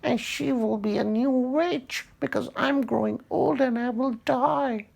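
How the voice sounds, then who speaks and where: A man voicing a greedy old witch woman who drags out her words in a shrill voice. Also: a little slow, gravelly, darkish tone, heavily acted. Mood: pleading.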